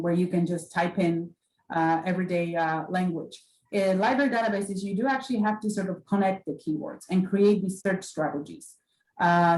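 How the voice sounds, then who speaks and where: Low-voiced woman. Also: a little mellow, reverberant, low-quality recording. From Poland. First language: Polish. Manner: hesitant, many fillers and restarts. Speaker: librarian. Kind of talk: library orientation talk.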